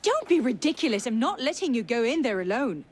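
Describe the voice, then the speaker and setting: A woman's voice: fairly high pitch, big pitch swings, fairly quick. An actress playing a bold, witty young noblewoman in a video game, speaking in a wry, self-assured way. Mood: concerned, firm. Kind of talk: video game dramatic acting.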